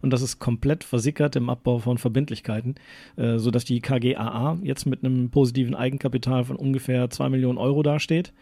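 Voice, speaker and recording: Westphalian-born male co-host, mellow and dry-sounding, hesitant and rambling. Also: mid-range voice, fairly even intonation, fast, dry recording. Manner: rambling, hesitant, frequent tag questions. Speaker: podcast co-host. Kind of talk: casual chat.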